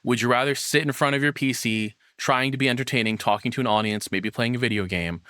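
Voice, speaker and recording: A man's voice: mid-range voice, quick pace, sharp tone, clear, resonant tone, no echo, clean studio sound. A man giving casual, rambling, opinionated livestream commentary with some swearing. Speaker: streamer reacting to a video.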